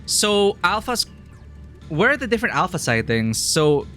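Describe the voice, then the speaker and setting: A man's voice: high-pitched, sing-song, unhurried, bright, forceful tone. Chatty man streaming a game over music. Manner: chatty, laughs often, mocks villains in put-on voices. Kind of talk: casual live-stream commentary.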